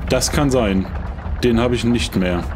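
A man's voice: medium pitch, a little slow, noisy background. A middle-aged man streaming a game, chatting casually in a rambling, jokey way as he plays. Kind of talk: casual chat while playing.

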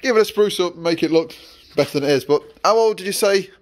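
A man's voice: high voice, fast, no echo. Rambling man in a workshop. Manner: rambling, many fillers and restarts. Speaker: vlogger, workshop owner. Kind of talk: casual vlog narration. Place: outdoor yard and workshop.

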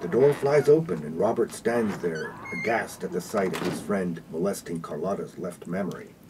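A man's voice: deep voice, animated, normal speed, noisy background. A man acting as the announcer of a comedic radio play, narrating scripted stage directions. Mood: announcing.

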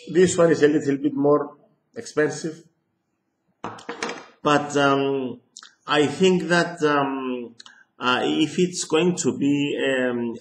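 Older man, Greek accent, slow halting speech, echoey small room, webcam sound. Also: mid-range voice. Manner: slow, hesitant, many ums and restarts. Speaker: harmonica teacher. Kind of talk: casual lesson to camera. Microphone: webcam at close range.

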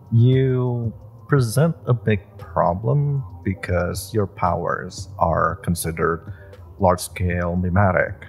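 Nerdy superhero played by a man for audio roleplay; nervous and halting, with a gravelly creak and unhurried pacing. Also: deep voice, a little mellow, full character voice. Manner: halting, flustered, stammering. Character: nervous, nerdy young male superhero, flustered and earnest.

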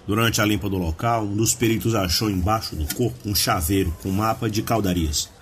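A matter-of-fact male police superior , played by an actor, in a radio play. His voice is fairly low. A faint background ambience runs behind it. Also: fairly quick, slight background noise, natural voice. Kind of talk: audio drama acting.

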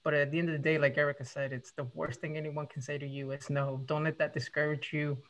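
Brief: man with a fairly high voice, reassuring, webinar remarks. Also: little pitch movement, fast talker, fairly bright tone, lo-fi sound. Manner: reassuring, many "you know" fillers.

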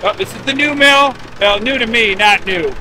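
A man's voice: sits high, animated, moderate pace, noisy background. A middle-aged man running a sawmill outdoors, answering with terse, dry jokes. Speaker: sawmill operator. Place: outdoor lumber yard.

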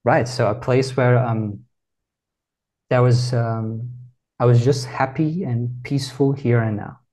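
Man, 30s, meditation teacher, measured, reflective, video call, plain room. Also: mid-range voice, fairly even intonation, darkish tone, rough recording. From Brazil. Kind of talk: calm explanatory interview answers.

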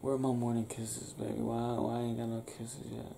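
A young man in a soft, breathy, sleepy murmur, often near a whisper, speaking intimately in an ASMR roleplay as a partner just waking up. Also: medium pitch, flat delivery, fairly quick, slight background noise. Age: young adult. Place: small indoor bedroom, lying on a bed.

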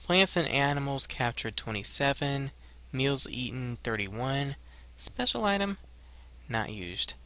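Bright-voiced man, animated game commentary. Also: mid-range voice, takes it slowly. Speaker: gaming video host. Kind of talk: casual let's play commentary, reading screens aloud. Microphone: headset.

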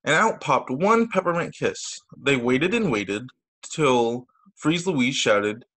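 A man, a teacher, reading children's stories aloud over a video call in an expressive, sing-song storytelling way. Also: mid-range voice, slow, low-quality recording. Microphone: video call microphone.

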